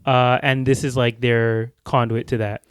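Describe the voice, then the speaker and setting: A man's voice: mid-range voice, level tone, smooth, no echo. A young man co-hosting a casual podcast, teasing at times and thoughtful at others. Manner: joking, then thoughtful and measured. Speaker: podcast co-host.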